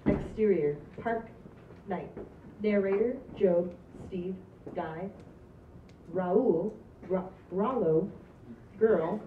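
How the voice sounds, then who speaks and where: A woman's voice: medium pitch, extremely slow, long pauses, a little hiss or hum. A woman reading the stage directions of a script at a table read in a matter-of-fact way. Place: small stage with green backdrop. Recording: distant, roomy, dull audio.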